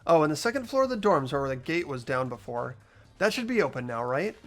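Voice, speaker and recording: A man's voice: fairly high pitch, big pitch swings, brisk. A man voicing an earnest, inquisitive teenage schoolboy who narrates his detective work in a visual novel game. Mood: earnest, thoughtful. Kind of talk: acted visual novel dialogue and inner narration. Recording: music behind the voice.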